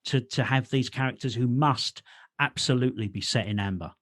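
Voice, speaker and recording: A man's voice: medium pitch, fairly quick, a bit bright. A middle-aged man hosting a casual interview as a fellow writer, informal and blunt, with a self-deprecating streak. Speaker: podcast host, writer.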